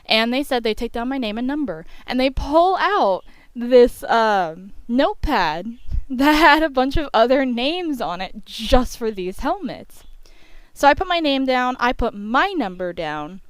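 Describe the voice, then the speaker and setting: A woman's voice: fairly high pitch, sing-song, unhurried, clear, resonant tone, no echo. A young woman telling a story in a casual, chatty voice-over, peppered with filler phrases like "you know". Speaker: YouTube storyteller.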